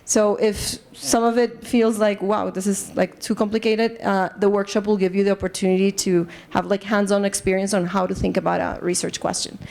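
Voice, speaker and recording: A woman's voice: medium pitch, level tone, a little fast, creaky, hardly any pauses, darkish tone. A woman from Colombia with a Colombian accent, an assistant professor giving a lecture to a live group, earnest, with many fillers and restarts. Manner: earnest, lots of fillers and restarts, engages audience. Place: conference room.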